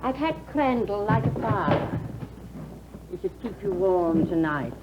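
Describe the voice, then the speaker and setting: An actress playing a cold, curt old aunt keeping a gloomy manor in a 1950s English-set radio drama. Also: female voice, medium pitch, sing-song, unhurried. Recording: dull lo-fi old radio recording with hiss.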